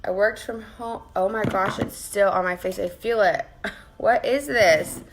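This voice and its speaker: A woman with a raspy voice, chatting casually with her viewers while she does her makeup at her vanity at home. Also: medium pitch, a little slow, noisy background. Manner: chatty, greets viewers by name and rambles while working. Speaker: makeup vlogger. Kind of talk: casual live-streamed makeup tutorial. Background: faint background music.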